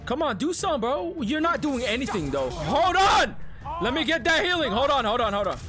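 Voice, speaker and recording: A man giving excited gameplay reaction commentary, often shouting and repeating his phrases rapidly. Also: very high voice. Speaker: game streamer and video creator. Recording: loud game music behind. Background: video game music and sound.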